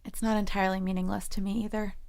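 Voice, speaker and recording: A woman's voice: mid-range voice, little pitch movement, fast talker, vocal fry, darkish tone, close to an everyday voice. A young woman acting in an audio drama as a defiant, lovestruck eighteen-year-old college girl. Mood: guarded, intimate.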